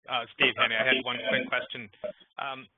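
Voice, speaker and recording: A man's voice: mid-range voice, flat delivery, moderate pace, very noisy. A man calling in to ask a careful technical question on a conference call. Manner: careful, trailing off quieter. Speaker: caller asking a question. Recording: dry tinny phone line.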